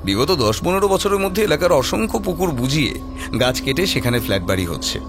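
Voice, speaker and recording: A man's voice: a little high, sing-song, a little fast. A man narrating a scripted horror audio story in a dramatic storytelling style. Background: music.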